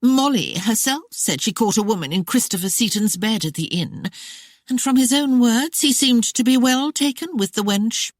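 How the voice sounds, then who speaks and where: A haughty scheming young lady, put on by a woman narrator, sneering with a lively, swooping pitch. She sits a little above the narrator's own voice. Dry, close sound. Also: normal speed, no room sound. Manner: sneering, arrogant.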